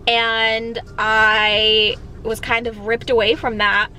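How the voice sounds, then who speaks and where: Bright-voiced, higher-pitched woman. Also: little pitch movement, slow pace, rough recording. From Czech Republic, later the United States. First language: Czech. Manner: candid, colloquial, sprinkled with "like". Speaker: vlogger answering viewer questions. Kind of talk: casual chat, Q&A to camera.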